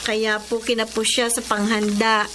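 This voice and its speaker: An actress playing a concerned, gently chiding young girlfriend in a radio drama. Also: fairly high pitch, monotone, slow, a little hiss or hum, poor audio. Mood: polite, confiding. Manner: concerned, reproachful.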